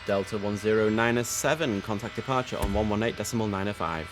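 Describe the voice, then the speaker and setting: A man's voice: lowish voice, quick pace, music in the background. A man streaming a game, mixing casual commentary with air traffic control instructions over background noise.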